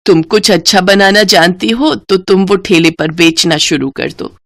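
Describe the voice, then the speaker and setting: A woman's voice: fairly low pitch, a little slow, dry recording, clean studio sound. Kindly, practical food-cart woman voiced by a narrator. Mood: weary, frank.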